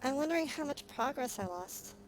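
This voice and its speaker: A woman's voice: sits high, lively intonation, a little fast. A woman livestreaming a game, chatting casually and a little hesitantly to her viewers. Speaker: livestreamer, vtuber. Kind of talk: casual livestream chat while gaming. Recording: clearly noisy, humming background.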